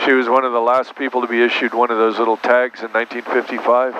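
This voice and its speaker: A man's voice: mid-range voice, quick pace. A man, an airline captain, chatting casually in the cockpit in a plain-spoken, explanatory way. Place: small turboprop aircraft cockpit in flight. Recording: dull muffled audio, steady aircraft engine noise. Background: aircraft engine drone.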